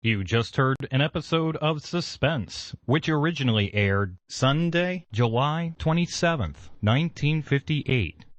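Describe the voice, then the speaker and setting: Animated, dry-sounding male station announcer. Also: fairly high pitch, unhurried, few pauses, no echo. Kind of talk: scripted announcement.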